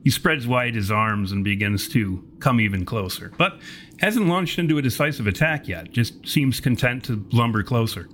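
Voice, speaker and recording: A man's voice: mid-range voice, lively intonation, normal speed, fairly bright tone, slight background noise. A man narrating a tabletop horror game as game master, in a descriptive storytelling style.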